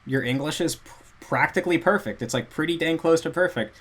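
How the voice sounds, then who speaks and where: A man's voice: fairly high pitch, a little fast, fairly bright tone. A man, a guest in a casual chat, earnestly explaining at length. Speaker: guest language learner.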